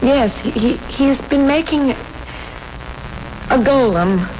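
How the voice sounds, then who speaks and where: An actress playing a fearful, awed granddaughter in a 1970s radio drama, halting and frightened. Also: female voice, high voice, animated, darkish tone, heavily acted. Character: fearful, awed granddaughter tending an old man. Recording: muffled, noisy old radio recording.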